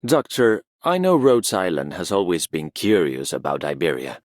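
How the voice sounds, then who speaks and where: An actor playing a stoic, philosophical swordsman in a video game, speaking in a measured, formal manner. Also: deep voice, big pitch swings, no room sound, studio quality. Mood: reflective. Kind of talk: video game character voice line.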